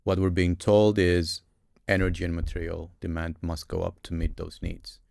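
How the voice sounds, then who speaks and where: A man's voice: low-pitched, completely flat delivery, moderate pace, gravelly, darkish tone, dry recording, studio quality. A man in his thirties from Romania, a guest on a podcast, answering questions in a thoughtful, emphatic way and repeating his key points. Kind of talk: conversational interview answers.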